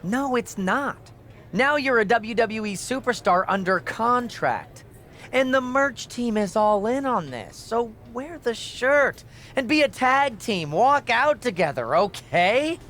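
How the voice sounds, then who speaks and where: A woman playing a pushy wrestling company official in a video game, brisk, glib and condescending. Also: a little high, big pitch swings, fairly bright tone, a little hiss or hum, heavily acted. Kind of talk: video game cutscene acting.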